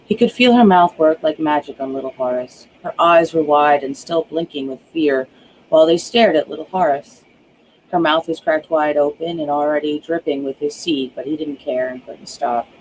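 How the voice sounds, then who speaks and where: An author reading their own horror thriller aloud as dramatic narration, drawing out key words with hushed emphasis. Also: medium pitch, moderate pace, a little hiss or hum, poor audio.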